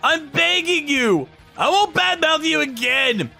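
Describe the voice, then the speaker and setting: A man's voice: very high voice, very animated, measured pace, vocal fry, sharp tone, soft background music, voice pushed up, heavily acted. A man voicing an arrogant, whining younger brother, an overexcited salesman, in a dramatized story. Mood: begging. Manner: sneering, overexcited, pleading.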